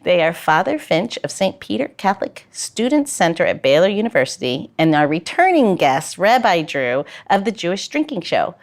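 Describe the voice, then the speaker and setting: A woman's voice: fairly low pitch, very animated, moderate pace, hardly any pauses. A woman hosting a podcast in a chatty, playful way, moving between banter and loosely scripted segments. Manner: playful, prone to chuckling. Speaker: podcast host.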